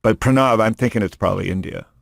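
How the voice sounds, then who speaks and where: A man's voice: lowish voice, fast, creaky, no room sound, clean studio sound. A man hosting a podcast in casual chat, rambling and joking, often restarting his sentences. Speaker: podcast host. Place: studio room.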